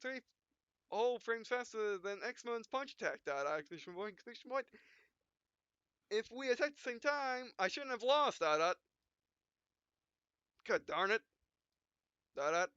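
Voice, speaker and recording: A man's voice: very high-pitched, expressive, moderate pace, long pauses, fairly bright tone, clear, resonant tone, no echo, highs cut off. A man playing a visual novel, reading its text and punctuation aloud in a mock-dramatic way between casual remarks. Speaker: let's play gamer.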